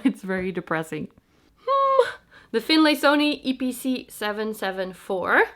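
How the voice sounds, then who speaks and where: A young adult woman with a stuffy, congested voice from a cold, chatting casually to camera at home, self-deprecating and explaining things as she goes. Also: fairly high pitch. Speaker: plant vlogger. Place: home, indoors.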